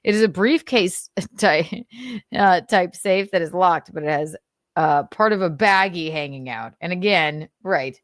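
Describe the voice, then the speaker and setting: Low-pitched woman, dry close sound. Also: measured pace, no room sound. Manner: informal, sweary, sardonic asides. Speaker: commentator and show host. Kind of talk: casual read-aloud of notes with commentary.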